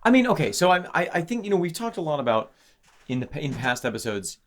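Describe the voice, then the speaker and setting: A man co-hosting a casual podcast, talking excitedly about song lyrics with many restarts; English is not his first language. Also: on the high side, quick pace. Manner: animated, exclamatory, lots of restarts. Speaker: podcast co-host.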